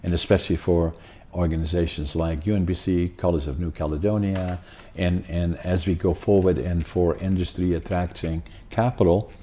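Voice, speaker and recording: A man's voice: deep voice, warm tone, slight background noise. An older man from the Netherlands with a Dutch accent, hosting a podcast in a rambling, casual talk full of fillers and restarts. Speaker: podcast host.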